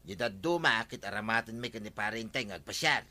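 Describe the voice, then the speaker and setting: An actor playing a cocky, evasive village husband with an Ilocano accent in a radio comedy drama. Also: male voice, on the low side, animated, a little fast, pushed and bright. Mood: evasive, boastful. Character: cocky, evasive village husband who dodges farm work.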